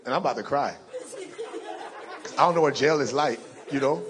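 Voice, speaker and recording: A young adult man with a deep voice, preaching a sermon and telling a personal story in an animated way, acting out the lines he quotes. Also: normal speed, a faint crowd.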